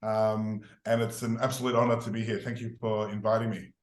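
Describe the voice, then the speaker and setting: A middle-aged man with an Australian accent, a podcast guest telling his story in a relaxed, rambling way with many fillers. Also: fairly low pitch, brisk, roomy sound. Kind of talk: podcast interview.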